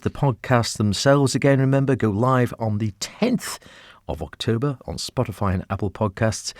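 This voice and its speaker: A man's voice: lowish voice, a little mellow, dry recording, clean studio sound. A man hosting a radio arts programme in a conversational presenting and interviewing style. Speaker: radio arts show host.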